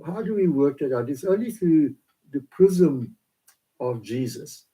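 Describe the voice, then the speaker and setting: Dark-voiced South African man, homespun devotional. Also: fairly high pitch, lively intonation, rough recording. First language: Afrikaans. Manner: rambling, halting with many fillers. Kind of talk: home video devotional talk. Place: small home office pantry, indoors. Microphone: laptop camera.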